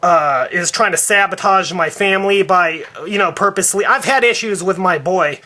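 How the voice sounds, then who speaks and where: A man acts out an indignant, devout father in a prank phone complaint. His voice sits high with a bright, sharp edge. He stammers, stalls and circles back over his grievances. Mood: flustered, suspicious. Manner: halting, stammering, repetitive. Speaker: prank caller.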